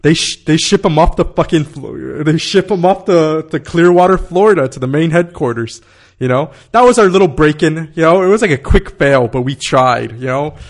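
A man's voice: a little high, lively intonation, moderate pace. A man in his thirties telling a long story in casual conversation, rambling with many fillers, swearing and laughing.

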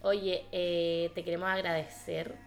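A woman's voice: fairly low pitch, level tone, a little slow, soft background music. A Chilean woman hosting a podcast in a casual, chatty style. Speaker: podcast host. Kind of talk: casual chat.

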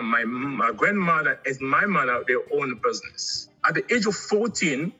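A middle-aged man from Angola, raised in Congo, chatting casually as an artist guest in an interview, halting and often repeating words. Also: a little high, pushed and bright, husky, rough recording.

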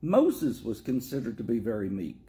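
A man's voice: medium pitch, wildly swooping pitch, normal speed, dark tone. A middle-aged man from Tennessee with a Tennessee accent, a pastor chatting about scripture in a joking, self-mocking way. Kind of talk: casual chat on scripture.

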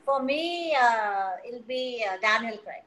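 Lady, middle aged, bit high voice, just chatting as a guest. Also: lively intonation, slow, a bit bright. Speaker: interview guest. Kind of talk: casual chat.

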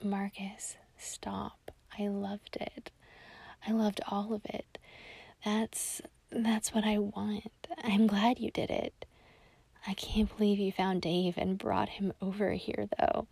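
An affectionate young woman answering her lover in a soft, eager, breathy whisper, slightly higher than the narration, as the storyteller plays her. Husky and slow, with a faint gravel, heard close and dry. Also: level tone, no room sound, exaggerated voice.

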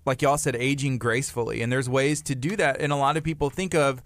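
A man's voice: medium pitch, fairly even intonation, brisk, no room sound. A man hosting a casual podcast chat, joking and teasing his co-hosts.

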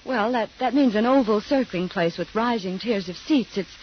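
An actress playing a sensible young woman who explains things briskly, in an old radio drama. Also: medium pitch, lightly acted. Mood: calm. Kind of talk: 1940s radio acting. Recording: dull old radio recording with faint noise.

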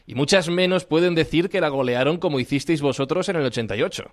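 A man hosting a radio show, reading scripted introductions and welcoming a guest in a measured way. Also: fairly high pitch. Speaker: radio host.

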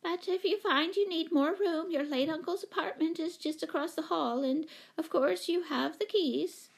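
A woman's voice: very high-pitched, moderate pace, vocal fry, voice pushed up. A woman voicing a fussy, anxious, chatty storybook lady who rambles apologetically and eagerly. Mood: nervous chatter.

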